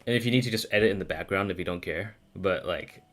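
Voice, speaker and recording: A man's voice: sits low, quick pace, a bit bright. A man chatting casually on a game stream in a slangy, rambling, profane way. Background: faint game music.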